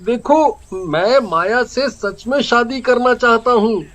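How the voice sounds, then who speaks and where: High, bright, cocky young village man acted out. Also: slow pace, exaggerated voice. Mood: earnest wooing. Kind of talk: acted moral-story dialogue.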